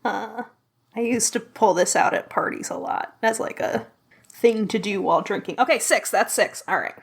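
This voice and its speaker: A woman playing a solo tabletop game as guest, expressive and playful, laughing often. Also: mid-range voice, normal speed, vocal fry, slightly rough. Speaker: player, guest. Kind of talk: casual play and improvised storytelling. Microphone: remote call with headphones.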